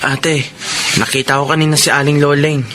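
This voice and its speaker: Actor as a devoted Filipino younger brother. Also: male voice, on the high side, flat delivery, brisk, over loud music, natural voice. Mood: grateful. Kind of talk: Filipino radio melodrama acting.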